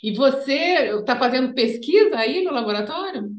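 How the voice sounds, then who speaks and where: A woman's voice: high-pitched, expressive, normal speed. Encouraging woman interviewer in an echoey room. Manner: warm, encouraging, short prompts. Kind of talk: casual interview over a video call.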